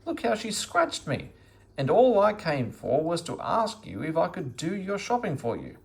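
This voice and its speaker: A man's voice: fairly high pitch, wildly swooping pitch, normal speed, gravelly, pitched above the performer's own voice, full character voice. A man voicing a well-meaning, bumbling and easily flustered fellow in a children's storybook. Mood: indignant. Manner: fussy, eager to help. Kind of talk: children's storybook read-aloud.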